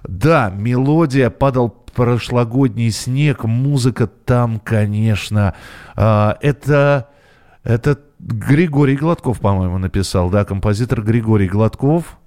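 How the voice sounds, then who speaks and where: A man's voice: mid-range voice, unhurried, a little mellow. A man hosting a casual live radio phone-in, talking in a hesitant way as if thinking aloud. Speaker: radio host.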